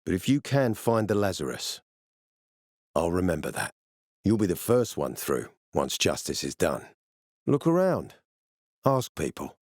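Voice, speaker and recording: Deep-voiced stern military commander. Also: male voice, very animated, measured pace, long pauses, darkish tone, dry recording, clean studio sound, exaggerated voice. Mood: persuasive, firm. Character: stern ambitious male military commander in a zombie apocalypse. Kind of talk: video game dialogue acting.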